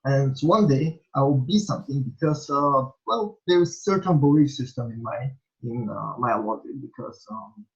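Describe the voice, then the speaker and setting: A young man from Bulgaria, a native Bulgarian speaker with a Bulgarian accent, answering interview questions in a halting way, full of fillers and restarts. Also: medium pitch, expressive. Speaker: interviewee, small vlogger. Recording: dull lo-fi recording.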